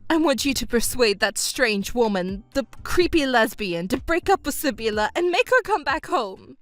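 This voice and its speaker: A woman voicing a selfish middle-aged stepmother who whines, wails and accuses in a shrill voice. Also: very animated, moderate pace, faint music behind. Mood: pleading. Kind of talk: narrated drama dub.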